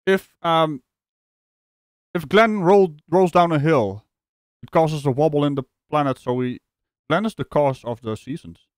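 A man from the Netherlands hosting a live stream, reacting to a clip with animated, mocking commentary and frequent laughs. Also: on the high side, a little slow, long pauses, dry recording. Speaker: streamer host.

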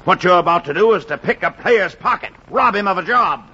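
A man's voice: high-pitched, animated, fairly quick, sharp tone, husky, heavily acted. A man playing a principled American baseball executive, lecturing emphatically in a 1940s radio drama. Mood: stern, impassioned. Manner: emphatic, oratorical. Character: principled, forceful male baseball executive lecturing his players. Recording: dull 1940s radio recording with hiss.

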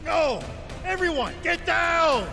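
An actor playing a stern older military veteran in a video game, speaking with grave, commanding urgency. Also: male voice, medium pitch, big pitch swings, normal speed, a bit bright, in a large hall, soft background music. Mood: alarmed. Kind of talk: dramatic video game voice acting.

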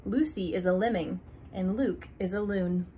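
A young woman reads a children's alphabet book aloud in a small room, taking it slow and deliberate. Her voice sits at an ordinary middle pitch, recorded close on a phone or laptop. A faint hum of room ambience runs underneath. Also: dark tone. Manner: slow, deliberate reading with stressed opening letters. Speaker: reader of a picture book. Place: small room indoors by a curtained window.

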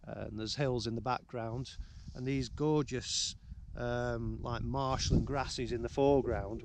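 A middle-aged man speaks close to a handheld camera out on open moorland, and clear outdoor noise runs under his voice. His pitch sits in the middle range. He talks in a halting, casual way, stopping often for ums and restarts. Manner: hesitant, many ums and restarts. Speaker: landscape photographer vlogger. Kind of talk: casual on-location vlog talk. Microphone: camera held close to face.

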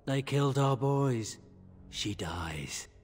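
A brutal castle guard from a fantasy game, sneering with contempt. He speaks slowly and with menace, in a middling male pitch, his voice ringing in a long hall reverb. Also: lively intonation, soft background music. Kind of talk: video game drama.

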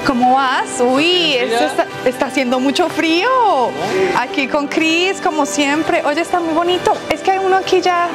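Upbeat young woman, high-pitched, touring a venue on a lapel mic with music underneath. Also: normal speed. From Colombia. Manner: chatty, playful, laughs at her own jokes. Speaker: vlogger touring a restaurant. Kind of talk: casual walk-through vlog. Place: large colorful restaurant interior, indoors.

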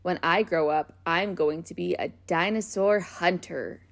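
A woman reading a children's picture book aloud in a gentle, expressive, child-friendly way. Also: fairly low pitch, unhurried, vocal fry, no echo. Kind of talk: storybook read-aloud.

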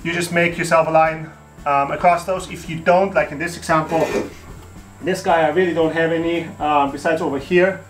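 A man's voice: on the high side, fairly even intonation, moderate pace, soft background music. A man in his thirties hosting a home renovation tutorial, explaining steps casually with fillers and restarts in an empty wooden attic room.

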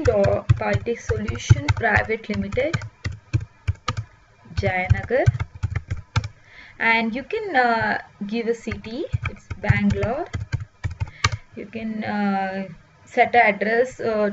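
A woman presenting a food ordering web app, explaining each step with many "uh" pauses. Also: medium pitch. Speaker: software demo presenter. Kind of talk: screen-recorded product walkthrough. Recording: dull lo-fi voice-over with faint hiss.

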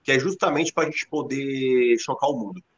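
A man's voice: mid-range voice, moderate pace. A man in his thirties with a Brazilian Portuguese accent, speaking emphatically over a video call from his living room. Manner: energetic, emphatic, many fillers. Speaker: MMA team leader and coach. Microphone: laptop webcam on video call. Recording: dull lo-fi call audio.

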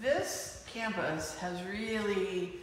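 A woman's voice: medium pitch, animated, extremely slow, slight background noise. A middle-aged woman telling a history as an interview guest in a large room indoors. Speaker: guest, outreach and networking representative. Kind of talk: explanatory interview storytelling. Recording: echoey reverberant room.

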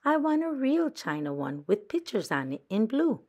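A woman's voice: on the high side, sing-song, normal speed, darkish tone, pitched above the performer's own voice. A woman voicing an earnest, trusting young girl badger in a picture book, speaking plainly. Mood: wistful. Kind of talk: children's picture book read-aloud.

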